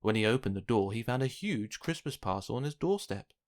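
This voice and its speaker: A man narrating a children's picture book, reading aloud with expressive, dramatic emphasis. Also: medium pitch, fairly quick. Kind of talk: scripted storybook narration for children.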